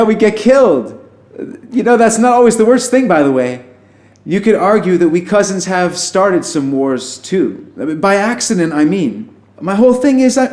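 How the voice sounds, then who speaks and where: A man's voice: high-pitched, a little mellow. A man playing an affable, nervous alien who poses as human, a pacifist pessimist speaking in wry, rambling, conversational asides in an audio drama. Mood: wry, candid. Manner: informal, rambling, self-interrupting, wry asides. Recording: roomy echo, music underneath.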